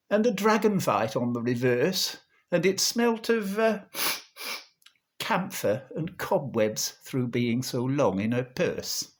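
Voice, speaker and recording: A man reciting a comic verse monologue in a theatrical, measured storytelling manner. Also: on the high side. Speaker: monologue performer.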